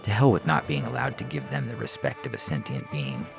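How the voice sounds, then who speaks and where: A man's voice: mid-range voice, sing-song, fairly quick, gravelly. A man narrating a scripted science fiction story as a podcast reader, with music behind him. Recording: music under the voice.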